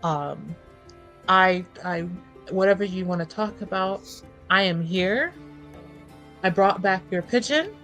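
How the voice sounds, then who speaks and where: Woman acting as a doting female friend, affectionate, fussing, mid-pitched, tabletop fantasy game, music behind. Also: expressive, slow pace, long pauses, a bit bright. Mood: soothing, chatty. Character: doting, posh-generous, concerned woman friend.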